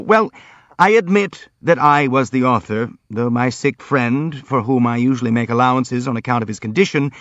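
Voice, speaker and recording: A man's voice: medium pitch, a bit bright, no echo, muffled recording, pitched above the performer's own voice. A man voicing a pompous, eager boxer in an audiobook, wordy and grandly emphatic as he justifies himself. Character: pompous, eager boxer justifying his own scandalous article. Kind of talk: audiobook character acting.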